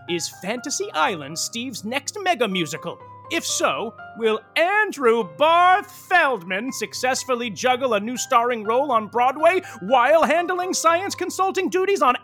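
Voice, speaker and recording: Campy, high-voiced, animated male soap narrator. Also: exaggerated voice. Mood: arch, gossipy. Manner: arch, mock-grand, theatrical. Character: campy, gossipy, melodramatic soap opera narrator. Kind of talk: scripted comic soap opera narration.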